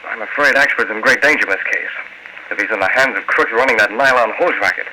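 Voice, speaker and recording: A noisy old radio recording of a man acting as a newspaper boss with a secret crimefighting life. His mid-pitched voice is composed and authoritative, in classic 1940s radio manner. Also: fast, close to an everyday voice. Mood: grave.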